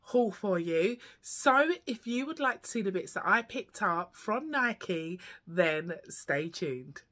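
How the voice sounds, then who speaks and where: A woman in her thirties vlogging casually to camera from her bedroom, sounding congested and quiet from a cold, chatty with many ums. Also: mid-range voice, expressive, takes it slowly. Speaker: YouTube fashion vlogger. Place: bedroom at home, indoors. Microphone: camera at close range.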